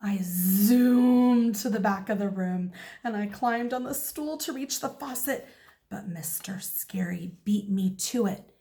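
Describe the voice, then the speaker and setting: A woman reading a children's story aloud as a teacher, expressive and deliberate. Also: medium pitch. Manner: animated, deliberate.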